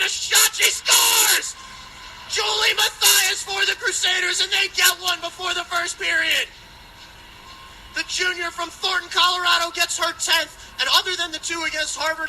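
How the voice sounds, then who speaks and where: A man's voice: very high voice, pushed and bright, slight background noise, low-quality recording. A man doing emphatic play-by-play ice hockey commentary for a radio broadcast from the rink. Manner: rapid-fire, emphatic calling of action.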